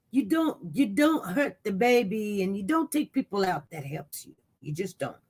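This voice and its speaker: A chatty live-stream host talks casually through the news and reads viewer comments aloud. The voice keeps leaping up high and loud when reacting, then dropping back to a low mutter. The talk rambles, with many restarts. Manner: animated, voice jumps up loud when reacting to chat. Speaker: livestream news host reading viewer chat.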